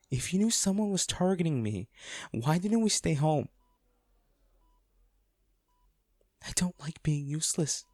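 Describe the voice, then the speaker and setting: Man acting a gravelly, heartbroken rich lover. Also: a little high, a little mellow, no room sound, studio quality, exaggerated voice. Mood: frustrated, pained. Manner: halting, emotional, with sighs and repeated words. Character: anguished wealthy businessman pleading at comatose lover's bedside. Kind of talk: dramatic audio roleplay monologue, intimate.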